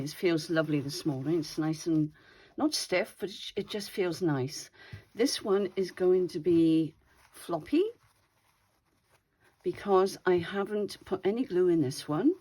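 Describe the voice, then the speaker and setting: A woman's voice: lowish voice, dark tone, slightly rough. An older woman with a slightly wheezy voice, chatting casually as she shows her craft project at home. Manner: chatty, meandering, self-correcting. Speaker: craft video host. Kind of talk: casual show-and-tell chat.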